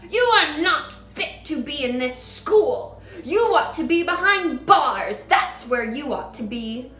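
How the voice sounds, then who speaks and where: A woman playing a booming, furious giant headmistress. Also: lively intonation, slow pace, sharp tone, heavily acted. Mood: shouting. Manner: booming, barking, ranting. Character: huge, furious, child-hating headmistress. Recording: echoey room.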